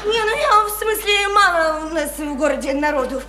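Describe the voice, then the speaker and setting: A woman's voice: very high voice, expressive, normal speed, a crowd in the background. An actress in a radio drama playing a naive, sickly, chatty small-town woman who rambles on in simple, insistent folksy speech. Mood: chatty, artless. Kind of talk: stage-style radio drama acting. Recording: roomy echo, faint noise.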